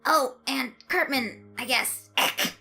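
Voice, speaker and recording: A woman's voice: high voice, slow, gravelly, sharp tone, faint music behind, voice pushed up, heavily acted. A woman voicing a twitchy, kindly young male coffee shop owner in a story, speaking hesitantly. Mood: awkward. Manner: hesitant, broken up with ums and ers.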